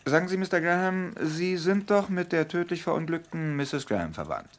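An actor playing a shrewd, insistent police detective in a German radio crime drama, probing and dryly polite. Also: male voice, on the high side, expressive, normal speed.

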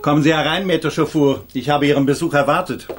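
A man acting an urbane, self-assured senior star lawyer in a radio crime drama, husky, courteous and polished. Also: a little high, steady tone, fast talker, music in the background, lightly acted. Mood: suave, self-assured.

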